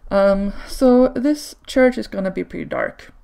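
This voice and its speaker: A woman whose first language is Swedish gives relaxed, chatty commentary over gameplay footage. Her voice carries a strong vocal fry, and her pitch sits in a middle range. She fills her sentences with ums and little laughs. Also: measured pace. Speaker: video creator. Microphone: home desk microphone.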